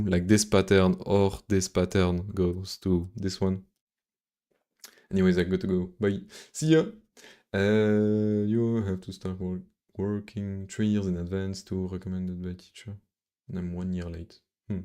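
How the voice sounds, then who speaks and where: A man's voice: sits low, dark tone, clean tone. A man with a French accent, a native French speaker, thinking aloud in casual, hesitant mutters as he live-streams his programming.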